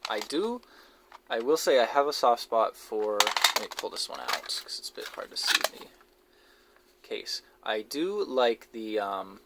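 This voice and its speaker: Man, low creaky voice, halting low-key hobbyist commentary, very noisy amateur recording with clicks. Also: very animated. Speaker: hobbyist video creator showing a cassette collection. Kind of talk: casual, unscripted show-and-tell commentary. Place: basement, indoors. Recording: noisy amateur audio with clicks and handling noise.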